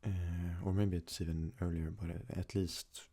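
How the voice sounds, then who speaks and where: A young man from Sweden, a native Swedish speaker, talks casually in a soft-spoken, hesitant ASMR style as he answers questions. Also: low-pitched, flat delivery, unhurried. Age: young adult. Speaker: ASMR creator.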